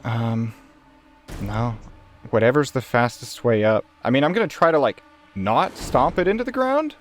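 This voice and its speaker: A man's voice: mid-range voice, normal speed. A man chatting casually and colloquially as a player in a tabletop roleplaying game. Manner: animated, colloquial. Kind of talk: casual, improvised game chat. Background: background music.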